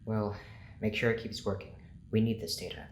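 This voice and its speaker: Guy acting as a stern lab scientist, lowish voice, formal and clinical, roomy echo. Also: fairly even intonation, moderate pace, lightly acted. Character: stern, formal male scientist running an experiment. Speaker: experiment proctor. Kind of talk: audio drama acting. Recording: roomy echo, faint noise.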